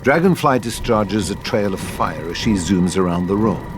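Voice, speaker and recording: A man's voice: on the low side, expressive, normal speed, over music. A man reading stage directions and scripted narration for an audio drama table read.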